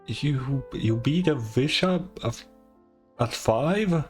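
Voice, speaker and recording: A streamer with a very animated delivery talks slowly over game music. The reactions are casual and incredulous, with stammering and plenty of swearing. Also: medium pitch.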